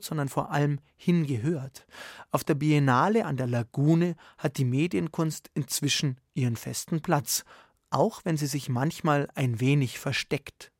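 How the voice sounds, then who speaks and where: A man's voice: on the high side, slightly rough, no echo. Male radio presenter, scripted moderation, dry close studio sound. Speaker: radio magazine host.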